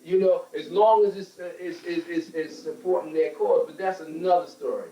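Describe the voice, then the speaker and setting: Higher-pitched American man, echoey and distant. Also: moderate pace, warm tone. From United States. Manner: emphatic, preaching, repeats phrases for emphasis. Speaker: panel speaker on a religious talk show. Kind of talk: opinionated group discussion. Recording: roomy echo, distant microphone.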